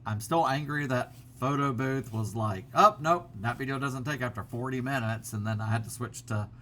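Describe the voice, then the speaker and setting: A man's voice: medium pitch, normal speed, sharp tone. A middle-aged man talks loosely into a laptop camera mic at home, his voice sitting in an ordinary middle register. He rambles casually, full of ums and restarts, over a faint background hum. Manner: rambling, many fillers and restarts. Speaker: vlogger. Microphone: built-in laptop camera mic.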